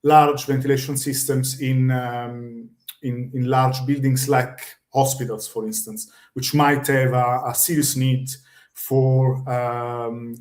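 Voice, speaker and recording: A man with an Italian accent, a guest expert explaining building regulations over a video call, measured and full of ums and pauses. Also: mid-range voice, little pitch movement, a little mellow, echoey room. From Italy. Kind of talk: webinar explanation.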